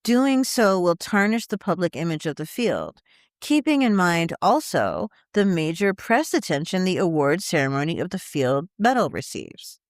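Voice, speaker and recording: A woman narrates a written news article in the role of a journalist. Her voice sits on the low side for a woman. The sound is very dry, with hardly any room around it. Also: big pitch swings, moderate pace, no echo. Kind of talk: scripted article narration, read aloud.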